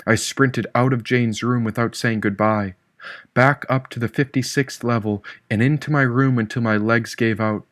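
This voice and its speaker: A man's voice: mid-range voice, fairly even intonation, normal speed, a bit bright, dry recording. Man narrating a novel, close dry sound. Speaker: audiobook narrator, author reading own book. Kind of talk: scripted first-person novel narration.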